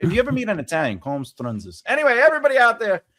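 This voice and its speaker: A man's voice: high voice, wildly swooping pitch, racing, pushed and bright, dry recording, clean studio sound. A man with a Long Island accent hosting a podcast, chatting playfully and animatedly with his guest. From Long Island, New York. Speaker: podcast host. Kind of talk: casual conversation.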